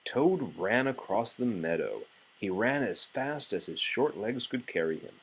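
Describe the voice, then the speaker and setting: A man reading a children's story aloud to a child in an animated narrating style. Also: on the low side, measured pace, breathy edge, low-quality recording. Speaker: storybook reader.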